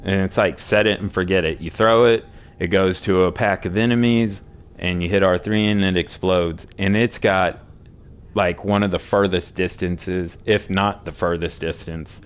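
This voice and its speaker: A hoarse man with a sore throat casually walking through game builds, rambling with many fillers. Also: low-pitched, fairly even intonation, moderate pace. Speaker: game streamer explaining builds.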